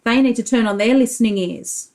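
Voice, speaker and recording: A woman's voice: a little high, brisk, a little mellow. A woman preschool teacher reading a picture book aloud to young children, explaining things and asking simple leading questions.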